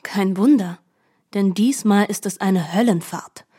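A woman's voice: medium pitch, measured pace, dark tone, breathy edge. A woman giving a composed, articulate reading of literary narration. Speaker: narrator of a literary radio adaptation.

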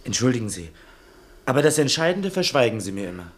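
A man's voice: medium pitch, big pitch swings, fast, close to an everyday voice. An actor playing a cheeky, impatient young man who presses his professor, in a radio play. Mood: impatient, challenging. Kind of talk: German radio play acting, 1960.

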